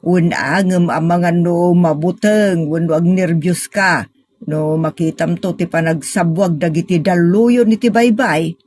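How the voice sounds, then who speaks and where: A woman's voice: on the low side, a little slow, darkish tone, no room sound, studio quality, voice pushed down. A woman playing a doubtful, cautioning mother in a radio drama, admonishing with a Filipino accent.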